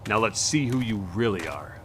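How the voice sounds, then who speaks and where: Earnest, conflicted superhero, noisy recording. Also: male voice, on the low side, expressive, normal speed, a bit bright. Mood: stern. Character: earnest, conflicted masked male superhero, weary and moral. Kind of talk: 1940s pulp radio drama acting. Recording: clearly noisy background.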